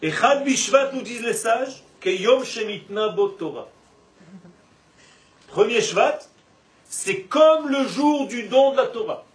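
A man giving an animated religious lesson to a group, with rhetorical questions and drawn-out emphasis. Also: very high voice. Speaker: religious teacher giving a Torah class. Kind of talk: lively spoken lesson to a live group. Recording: roomy echo, distant mic, faint noise.

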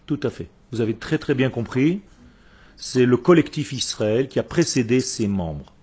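Husky man teaching religion. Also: mid-range voice, lively intonation, moderate pace, darkish tone. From Israel. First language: Hebrew. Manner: didactic, emphatic, with rhetorical questions and short phrases. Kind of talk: religious lecture to a group.

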